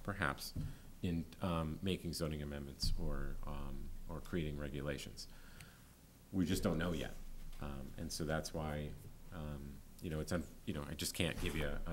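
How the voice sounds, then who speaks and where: A man in his forties, a town planning official, answering a board's questions at a public meeting, often pausing with ums and restarting sentences. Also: very deep voice, a little hiss or hum. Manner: hesitant, many ums and restarts. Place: town hall meeting room. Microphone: desk microphone.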